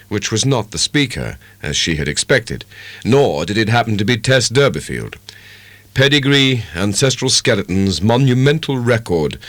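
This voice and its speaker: A man giving a measured, expressive dramatic narration of a literary audiobook. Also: lowish voice, no room sound.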